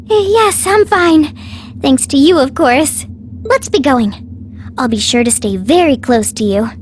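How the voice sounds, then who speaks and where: An extremely high, lilting girlish voice for a refined young princess in a video game. She is playful and daring, speaking genteelly and without hurry. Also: animated, a little hiss or hum. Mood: pleased. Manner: genteel, teasing, unhurried.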